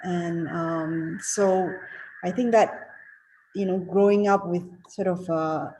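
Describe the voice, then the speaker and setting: A woman in her fifties from Singapore, giving a reunion speech over a video call and answering questions, halting with many fillers and restarts. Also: lowish voice, fairly even intonation, noisy background. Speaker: keynote speaker, alumna.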